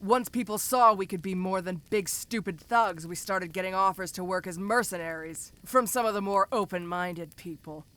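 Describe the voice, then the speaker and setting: A woman's voice: mid-range voice, moderate pace, hardly any pauses, fairly bright tone, close to an everyday voice. A woman voicing a domineering giant ogre mercenary in an audio roleplay, speaking to the listener in a casual, commanding, teasing way. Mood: matter-of-fact. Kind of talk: first-person audio roleplay addressed to the listener.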